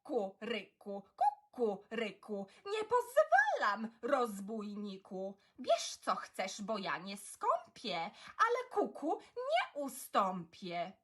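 A woman voicing an angry, blustering rooster from a children's poem, crowing in loud, shrill, indignant outbursts. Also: wildly swooping pitch, slow, hardly any pauses, slightly rough, exaggerated voice. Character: angry, blustering rooster defending his turf.

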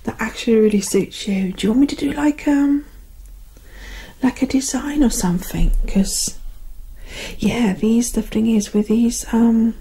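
A woman in her thirties speaking softly and gently in a casual ASMR roleplay as a barber, often close to a whisper, near the mic at home. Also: a little high, breathy edge, poor audio. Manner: gentle, unhurried, casual one-sided chat. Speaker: ASMR performer playing a barber. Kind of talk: soft-spoken ASMR personal-attention roleplay. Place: living room at home.

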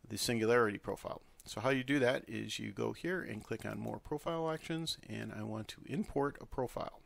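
A man presenting a casual software tutorial, talking informally through each step as he works at a computer. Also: mid-range voice, gravelly, dry recording. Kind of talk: casual screen-recorded tutorial.

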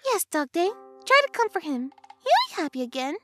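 A performer voicing a gentle, caring female creature in a cartoon, speaking in a soft, reassuring, childlike way. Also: very high voice, very animated, brisk, music in the background. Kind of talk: fan-made cartoon voice acting.

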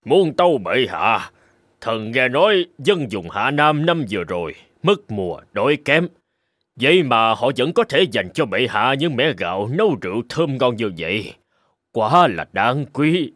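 A grave old minister. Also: male voice, mid-range voice, sing-song, a little slow, fairly bright tone. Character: old male minister, honest and blunt. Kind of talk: cartoon voice acting. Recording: music playing behind.

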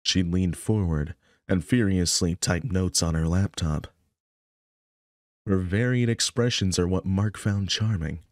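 A man's voice: sits low, unhurried, no echo, studio quality. A young man narrating a visual novel as a storyteller. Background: music.

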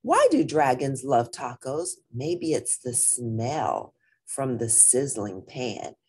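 A woman with a husky voice reading a picture book aloud to young listeners in an animated, deliberate manner, with brief asides. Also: extremely low pitch. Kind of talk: expressive children's read-aloud with brief asides.

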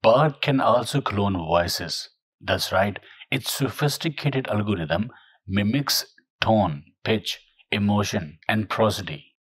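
A man narrating a software tutorial in a steady, scripted, explanatory way. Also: lowish voice, takes it slowly, slightly rough, no echo.

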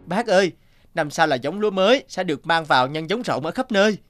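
An actor playing an eager, excitable young male agriculture intern in a cartoon, earnest and respectful. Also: a little high, fairly quick. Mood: admiring, excited. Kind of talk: animated drama dubbing. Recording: music under the dialogue.

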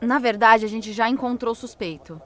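A woman's voice: on the high side, moderate pace, a bit bright, soft background music, natural voice. An actress playing a frightened, defiant young woman journalist in an audio drama, emotional and pleading. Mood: tense.

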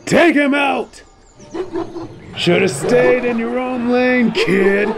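A man's voice: extremely high pitch, slow, creaky, over a noisy crowd, heavily acted. A man voicing a brash, menacing wolf bandit leader in an audio roleplay, taunting and swaggering as he orders his gang about. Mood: barking orders. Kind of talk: scripted fan audio roleplay acting.

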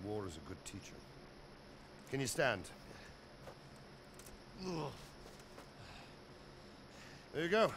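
An actor playing a gruff, steady older knight captain in a medieval video game, plain-spoken and commanding. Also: male voice, lowish voice, a little fast. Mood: reassuring. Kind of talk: video game cutscene acting. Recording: noisy game ambience behind.

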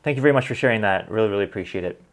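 A man in his thirties with a Canadian accent talks in a relaxed, chatty way, filling gaps with ums and likes. His voice sits a little low. He speaks from a desk in a small home studio. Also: very fast. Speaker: whiskey reviewer and channel host. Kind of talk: casual vlog, reading comments and tasting.

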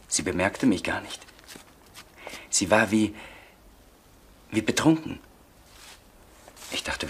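A tormented confessor in a crime radio play. His lowish voice is halting and often falls to a near whisper, on a faintly noisy old recording. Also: gravelly, fairly bright tone, full character voice. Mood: halting, subdued. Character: tormented man confessing a killing to his brother.

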